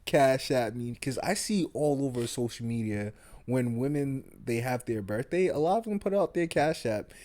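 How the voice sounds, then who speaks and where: A man in his late twenties, co-hosting a podcast in relaxed casual chat and laughing often. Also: mid-range voice, moderate pace. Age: 20s. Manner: laid-back, laughs often. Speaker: podcast co-host.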